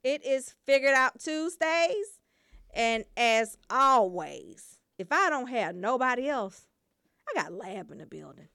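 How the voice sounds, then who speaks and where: A woman's voice: on the high side, big pitch swings, slow pace, creaky, bright, forceful tone, smooth, dry recording, clean studio sound. A woman hosting a casual comedic show, playful and teasing, trying on mock accents. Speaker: show host. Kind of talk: casual comedic live chat.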